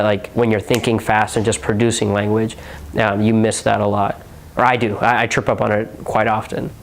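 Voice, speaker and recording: A young man from Houston, Texas, speaking in a low, fairly flat voice. He answers interview questions casually, with a steady stream of "um" and "like" fillers. He sounds like a relaxed university student thinking out loud. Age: young adult. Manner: relaxed, heavy on filler words like "um" and "like".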